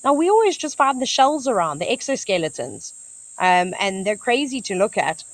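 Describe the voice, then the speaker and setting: A woman's voice: mid-range voice, big pitch swings, normal speed, no room sound. A woman with a South African accent hosting a live wildlife stream, chatting enthusiastically and talking to the animals on screen. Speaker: wildlife livestream host. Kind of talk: live nature commentary. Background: cicadas screeching.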